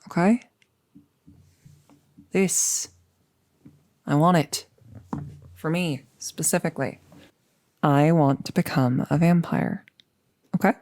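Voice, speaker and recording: A woman's voice: low voice, slow, long pauses, darkish tone. A woman playing a nervous but resolute girlfriend in an intimate ASMR audio roleplay, speaking in a hesitant, rambling, earnest way. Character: nervous, resolute woman about to become a vampire.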